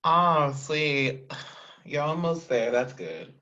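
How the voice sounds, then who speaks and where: Upbeat casual interview host, mid pitch, muffled lo-fi audio. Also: big pitch swings, drawn-out words, pushed and bright. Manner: upbeat, enthusiastic, casual slang.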